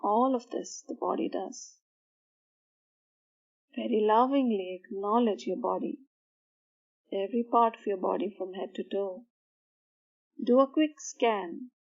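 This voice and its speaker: A woman guiding a sleep meditation in a soothing, measured manner, pausing between short phrases. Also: medium pitch, gravelly, darkish tone, dull audio. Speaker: meditation guide. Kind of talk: guided meditation for sleep, slow instruction.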